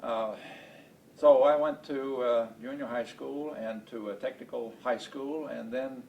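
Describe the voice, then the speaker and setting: A man in his late seventies from Estonia, a native Estonian speaker, recalling his life in an oral history interview in a halting, deliberate way with many hesitations. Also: fairly even intonation, gravelly, a little mellow, dull audio. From Estonia, later Baltimore, USA. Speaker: interview guest, space program veteran. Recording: distant mic, noisy rumbling background.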